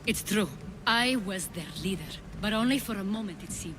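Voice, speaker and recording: A woman's voice: medium pitch, expressive, normal speed, background noise, close to an everyday voice. A woman playing a poised, calculating former cult leader in a video game cutscene, composed and persuasive. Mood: calm, confessing.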